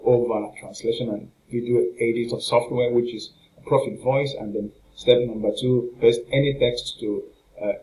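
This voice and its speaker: A man giving an informal, halting and repetitive screen walkthrough as a product reviewer. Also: mid-range voice, a little mellow, low-quality recording. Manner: halting, repetitive, rambling narration with filler phrases.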